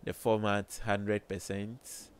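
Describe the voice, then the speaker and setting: A man with a low voice, slowly thinking aloud with many fillers while demonstrating music plugins in a home studio. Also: level tone, smooth. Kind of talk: casual screen-recorded tutorial. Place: small home studio with colored lights.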